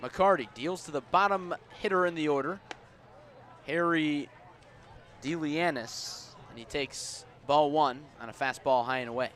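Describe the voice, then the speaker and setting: A man doing live radio play-by-play of baseball as the announcer, with a measured delivery that rises on the action. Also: on the high side, fairly bright tone. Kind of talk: live radio sports commentary. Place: outdoors at a baseball stadium. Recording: outdoor ballpark ambience noise behind.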